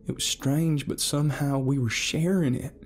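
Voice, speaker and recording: A woman's voice: steady tone, moderate pace, darkish tone, a little hiss or hum, natural voice. A man voicing a defiant, frantic young woman who curses shrilly, over faint music. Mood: earnest. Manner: shrill and cursing.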